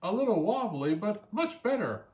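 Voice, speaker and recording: A man voicing an excitable, encouraging, scatterbrained seagull with a squawking delivery in a children's storybook reading. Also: sits high, normal speed, voice pushed up. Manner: squawking, exclamatory. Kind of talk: children's storybook read-aloud.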